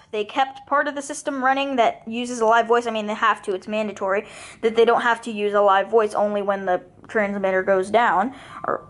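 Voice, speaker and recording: A woman talking casually and rambling through commentary as the host of a hobby video. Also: on the high side.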